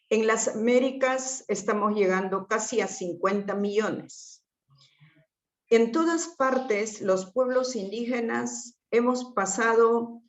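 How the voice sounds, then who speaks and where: Slow, measured woman speaking in an echoey room. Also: mid-range voice. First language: Quechua. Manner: measured and deliberate, with frequent pauses and "eh" fillers. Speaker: invited panelist. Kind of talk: formal talk on a video call.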